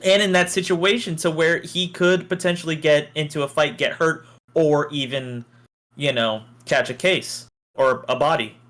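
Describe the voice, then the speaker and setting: A man's voice: on the high side, normal speed, a bit bright. A man chatting casually on a podcast and telling everyday stories, with some casual swearing. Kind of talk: casual podcast chat and storytelling.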